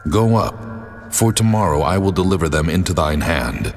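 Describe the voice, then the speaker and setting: Commanding deity, low-pitched, swooping delivery, majestic, scripture drama, light music behind. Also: male voice, big pitch swings, moderate pace. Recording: long cavernous reverb, faint music.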